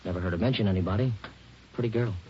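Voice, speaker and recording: An actor playing a folksy, breezy showbiz booking agent in a 1940s radio drama, talking in clipped slang. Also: male voice, fairly low pitch, rapid-fire, a little hiss or hum, lightly acted. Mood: casual, chatty. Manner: slangy, informal, clipped phrases. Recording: muffled vintage 1940s radio recording.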